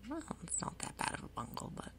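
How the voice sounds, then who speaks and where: A woman giving soft, breathy, whispered ASMR commentary on a game, murmuring her thoughts aloud in an unhurried way. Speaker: gaming video host.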